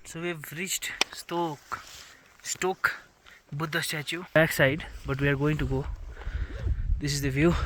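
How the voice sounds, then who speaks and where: A man's voice: on the high side, fairly even intonation, takes it slowly, a bit bright. A man whose first language is Nepali, chatting to camera as a travel vlogger on a mountain trip, pointing out sights like a casual tour guide. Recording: noisy outdoor camera audio.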